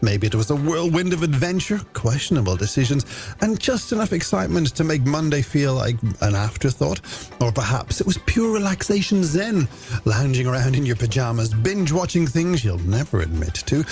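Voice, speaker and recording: A man's voice: mid-range voice, a little mellow. An Irish man presenting a late-night music radio show, chatting companionably to listeners in scripted links. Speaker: radio host. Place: radio studio. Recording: music bed behind the voice.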